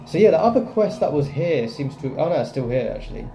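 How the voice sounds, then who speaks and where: A man's voice: on the high side, big pitch swings, fast, mellow, soft background music, rough recording. A man giving casual gameplay commentary, thinking aloud as he plays. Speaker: video game player and commentator.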